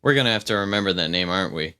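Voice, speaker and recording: A man's voice: low-pitched, expressive, racing, background noise. A young man giving casual, slangy reaction commentary as a video host, with puzzled asides.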